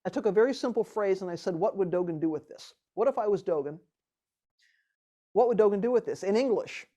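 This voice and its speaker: Woman retreat leader, casual and animated, mid-range voice. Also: mid-range voice, fairly quick. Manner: animated, laughs often, many restarts. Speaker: retreat teacher. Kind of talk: casual teaching talk.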